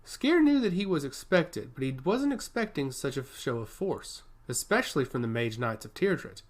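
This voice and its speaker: A man's voice: medium pitch, sing-song, moderate pace. A man reading a scripted fantasy story aloud as its narrator. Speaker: audiobook narrator.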